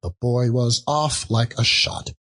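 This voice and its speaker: A man narrating an audiobook as a theatrical storyteller, with a measured, dramatic delivery. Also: mid-range voice, fairly even intonation, a bit bright.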